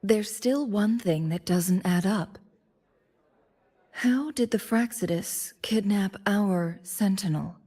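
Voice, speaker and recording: Mellow, earnest woman strategist. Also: medium pitch, husky. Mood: pensive. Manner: measured, polite, purposeful. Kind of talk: scripted video game dialogue.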